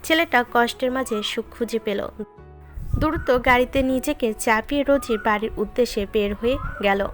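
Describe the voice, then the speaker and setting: A woman's voice: on the high side, moderate pace. A woman reading scripted narration of a romantic story, with music behind her voice.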